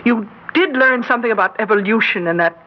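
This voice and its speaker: Woman playing a precocious, high-voiced schoolgirl, vintage radio drama. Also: fast talker, close to an everyday voice. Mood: coolly superior. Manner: switches between gushing girlish and precise, condescending diction. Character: precocious schoolgirl plotting revolution, feigning giddy innocence, coolly superior. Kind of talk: old-time radio drama acting. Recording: muffled, hissy old-time radio recording.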